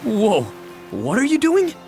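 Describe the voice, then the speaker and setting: A man acting a brash, excitable young adventurer in a video game cutscene. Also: very high voice, slow pace, faint music behind, heavily acted. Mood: startled, incredulous.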